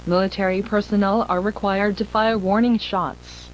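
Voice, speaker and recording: A woman playing a stern emergency broadcast announcer in an analog horror video, reading grave warnings in a formal, impersonal, measured way. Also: medium pitch, fairly even intonation, slight background noise, natural voice, low-quality recording. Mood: urgent warning.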